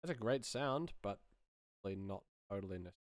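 A man's voice: lowish voice, animated, fast, heavy background noise. A man in his thirties muttering casually to himself as he presents his sound design work. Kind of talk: casual think-aloud tutorial commentary while working.

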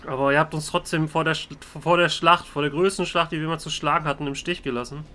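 A man role-playing his video game hero's dialogue as a let's player, with a hesitant, deliberate delivery. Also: a little high, steady tone, sharp tone.

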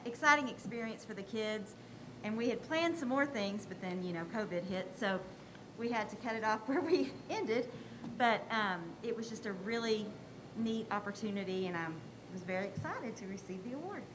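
A woman's voice: on the high side, animated, normal speed, few pauses. An older woman, a teacher, giving an informal, explanatory presentation in a conversational way at a podium microphone through a face mask. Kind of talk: informal award presentation to a school board. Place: school board meeting room. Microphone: podium microphone, speaking through a face mask. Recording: audible room noise at a podium microphone.

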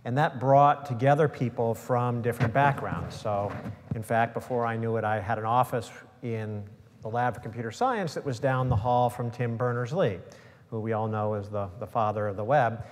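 A middle-aged man explains things steadily on a panel, leaning on "you know" as he goes. He sits on an auditorium stage with a lapel mic. His voice is mid-pitched and carries a long hall reverb. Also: moderate pace. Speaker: tech company CEO and professor on panel. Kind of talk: panel discussion. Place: large auditorium stage, seated.

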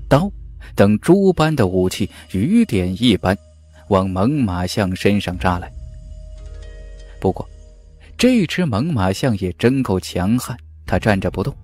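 Expressive male audiobook narrator. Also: fairly low pitch, husky. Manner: expressive storytelling delivery. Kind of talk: serialized web novel audiobook narration.

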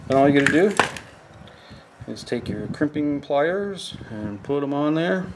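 Unhurried male instructor talking through a table-top demo, plain and practical. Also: on the high side, animated, background noise. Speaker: fishing tackle instructor. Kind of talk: hands-on how-to demonstration. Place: indoors at a work table.

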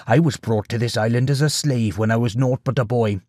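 A big gruff swineherd from a storybook, acted by a male narrator, blunt, hearty and fiercely loyal. He speaks with rustic, emphatic phrasing, and the sound is dry and close. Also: mid-range voice, a little fast, darkish tone, dry recording, lightly acted. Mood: menacing, stern.

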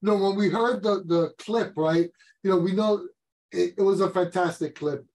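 High-voiced male interviewer. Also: moderate pace, darkish tone. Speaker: interviewer, show host. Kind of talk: conversational interviewing. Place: indoor room, video call.

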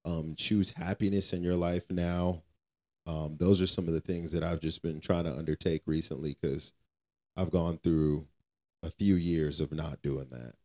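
A man's voice: sits low, fairly even intonation, measured pace, darkish tone. A man co-hosting a casual faith podcast, talking in an informal, colloquial and earnestly preachy way. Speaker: podcast co-host.

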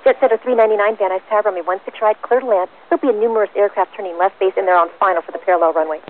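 A woman's voice: mid-range voice, rapid-fire. A woman working as a tower controller, giving clipped, procedural instructions to pilots over aviation radio. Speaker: air traffic tower controller. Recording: tinny, narrowband aircraft radio transmission.

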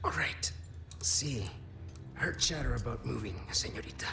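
A man's voice: medium pitch, lively intonation, moderate pace, gravelly, bright, forceful tone, reverberant, whispered. An actor voicing a roguish, flirty Spanish man in his thirties for a video game, breezy and teasing, with a Spanish accent. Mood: evasive, flippant. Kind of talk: cinematic game voice acting.

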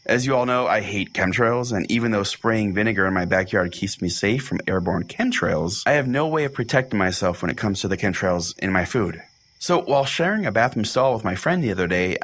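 A man's voice: fairly low pitch, fairly bright tone, breathy edge, full character voice. A man playing an oblivious, quirky pastor who hosts a spoof religious podcast in a folksy, rambling, mock-earnest way. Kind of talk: comedic podcast hosting and reading aloud.

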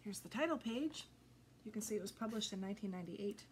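A woman's voice: mid-range voice, fast talker. Older woman storyteller, noisy recording. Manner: expressive, addressing young listeners directly. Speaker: storyteller reading a picture book aloud. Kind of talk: children's read-aloud storytelling. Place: indoors before a green backdrop. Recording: noticeable background noise.

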